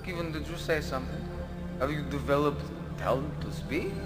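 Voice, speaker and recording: A man's voice: on the high side, normal speed, natural voice. A man playing a pompous, villainous count in a comic melodrama, theatrical and gloating. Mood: lonely, doting. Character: pompous villainous count who dotes on his hamster. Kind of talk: comic melodrama audio acting. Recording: long reverb, loud music behind.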